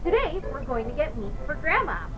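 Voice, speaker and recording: A woman's voice: high voice, big pitch swings, brisk, over loud music. A woman voicing a chirpy, instructive young girl who hosts a children's cartoon and puts questions to the viewer, with a faint menace. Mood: perky, insistent. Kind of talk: children's educational cartoon show.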